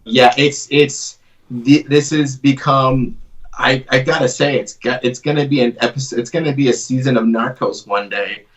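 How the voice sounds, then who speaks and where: A man's voice: medium pitch, steady tone, normal speed. A man, a comic creator chatting as a guest in a jokey, rambling way. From Canada (Vancouver). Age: 40s. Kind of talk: casual interview chat. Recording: dull distant remote audio.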